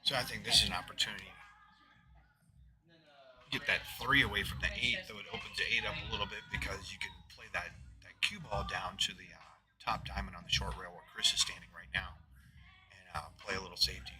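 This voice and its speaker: A man's voice: deep voice, steady tone, fairly quick, crowd noise. A man commentating a live pool match from a booth in a pool hall, talking in a loose, rambling way with many fillers. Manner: rambling, filler-heavy, talks of his loud voice.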